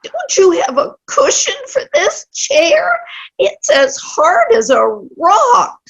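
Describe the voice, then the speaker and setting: A woman's voice: extremely high pitch, huge pitch swings, fairly bright tone, muffled recording, exaggerated voice. A woman playing a querulous, sour old woman who complains about her pains in a comic play reading. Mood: indignant. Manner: querulous, slow, dramatic complaining. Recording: video call play reading.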